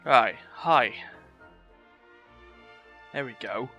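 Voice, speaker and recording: A man's voice: mid-range voice, drawn-out words, pushed and bright, muffled recording, soft background music. A man hosting a casual live game stream, chatty and wry, poking fun at himself. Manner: self-deprecating, wry, chatty. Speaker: video game streamer, host. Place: indoors at home, live stream, game sound behind.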